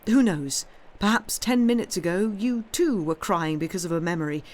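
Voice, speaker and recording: A reader playing a halting, effusive young male dreamer. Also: sits high, expressive. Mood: earnest, effusive. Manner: halting, stammering, pleading. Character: young lonely dreamer man, impulsive, timid, effusive. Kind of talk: dramatised audiobook reading.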